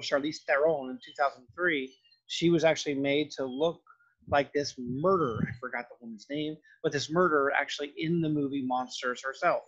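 A man's voice: fairly high pitch, a bit bright, breathy edge. A man lecturing informally over slides and clips, explaining in a loose, improvised way. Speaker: art history lecturer. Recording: dull, lo-fi lecture recording.

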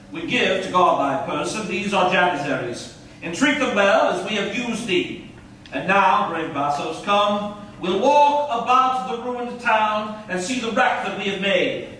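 A man's voice: high voice, lively intonation, a little slow, sharp tone, exaggerated voice. A man playing a proud conquering commander on stage, declaiming old verse in an echoey hall. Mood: lordly, pleased. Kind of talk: theatrical staged reading of Elizabethan verse. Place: theatre stage, indoors. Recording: echoey stage hall from far off, faint noise.

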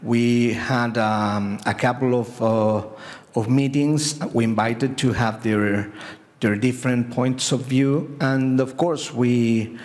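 Middle-aged man, halting conference remarks, mid pitch, long hall reverb. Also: fairly even intonation, darkish tone. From Bolivia. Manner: halting, many restarts and repeated words. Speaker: panel participant. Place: large conference hall, seated in the audience.

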